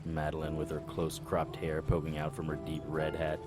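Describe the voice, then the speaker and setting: An actor playing a serious, plain-spoken 1930s private detective in a noir audio drama. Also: male voice, extremely low pitch, completely flat delivery, normal speed. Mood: wry, reminiscing. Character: earnest, dutiful 1930s private detective, a man. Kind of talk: noir audio drama acting and first-person narration. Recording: music behind the voice.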